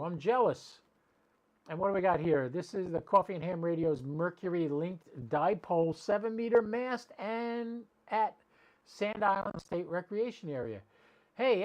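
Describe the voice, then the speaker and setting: A man's voice: high-pitched, expressive, measured pace, muffled recording. A man chatting casually and rambling as he hosts an amateur radio livestream, talking directly to his viewers.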